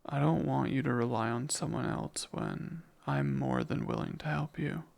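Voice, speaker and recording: A man playing a jealous, devoted demon in an intimate ASMR romance roleplay, speaking ardently and deliberately in a breathy, gravelly voice. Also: mid-range voice, a little mellow. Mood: possessive. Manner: slow, deliberate, ardent, possessive. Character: jealous, devoted male demon confessing love.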